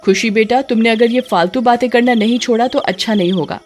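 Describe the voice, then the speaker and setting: A woman plays an anxious mother in a haunted-house story. The voice is high, urgent and stern, set over background music. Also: fairly quick, a little mellow, lightly acted. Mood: scolding. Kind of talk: dramatized horror story acting.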